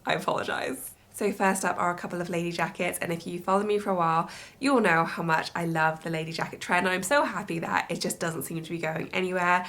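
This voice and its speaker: A young woman with a congested, bunged-up nose talks through a clothing haul in a casual, chatty way. Her voice sits slightly low for a woman, and she piles on enthusiastic superlatives about every item. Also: steady tone, quick pace, a bit bright. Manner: gushing, enthusiastic, lots of superlatives. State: congested, stuffy-nosed from allergies. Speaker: fashion vlogger. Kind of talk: casual haul chat to camera.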